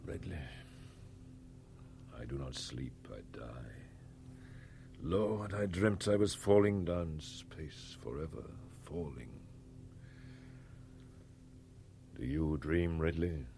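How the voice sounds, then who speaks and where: An actor playing a blinded, obsessed old starship captain, declaiming grandly and poetically in a 1960s British radio drama. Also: male voice, rumbling low, animated, slow, vocal fry, dark tone, slight background noise, exaggerated voice. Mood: weary, brooding.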